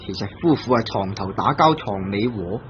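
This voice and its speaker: An actor with a Hong Kong Cantonese accent playing a carefree young unmarried clerk in an old radio drama, teasing and then gently scolding his cousin. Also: lowish voice, lively intonation, normal speed, natural voice. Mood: coaxing. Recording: dull old radio broadcast with faint hiss.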